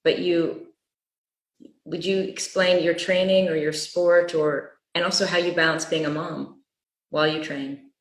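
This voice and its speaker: A woman moderating a panel interview, asking halting questions that often restart mid-sentence. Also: low-pitched, little pitch movement. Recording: echoey room.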